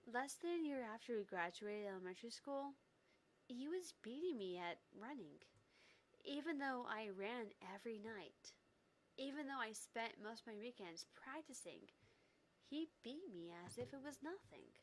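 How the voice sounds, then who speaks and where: A woman's voice: on the high side, animated, moderate pace, low-quality recording. A woman voicing a wounded, devoted young woman making a sorrowful confession in a visual novel read-aloud. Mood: wistful confession.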